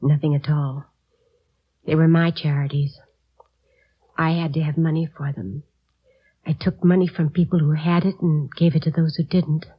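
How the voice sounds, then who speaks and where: Husky, fluttery elderly housewife. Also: female voice, deep voice, steady tone, moderate pace, long pauses. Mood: wistful, earnest. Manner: fluttery, distracted, genteel. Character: fussy elderly housewife, politely defiant check forger. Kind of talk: 1950s radio drama acting. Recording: dull vintage radio recording.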